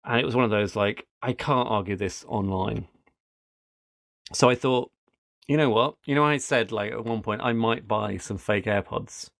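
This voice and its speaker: A man's voice: lowish voice, moderate pace, vocal fry, fairly bright tone, no echo, clean studio sound. A middle-aged British man hosting a podcast, chatting casually in a rambling, anecdotal way. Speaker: podcast host. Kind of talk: casual tech podcast chat.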